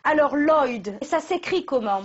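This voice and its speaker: A woman interviewer asking gentle, patient questions. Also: high voice, lively intonation, measured pace, highs cut off.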